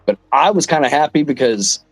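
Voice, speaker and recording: A hoarse man, a rock singer appearing as a guest, talking in a laid-back, rambling way with many fillers. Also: a little high, expressive, brisk, no echo, faint music behind, low-quality recording. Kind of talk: casual chat.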